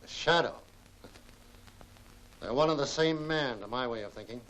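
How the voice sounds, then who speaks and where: An actor playing a brisk, plain-spoken tough man in a 1940s crime film serial. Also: medium pitch, fairly bright tone, natural voice. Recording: faintly noisy 1940s film soundtrack.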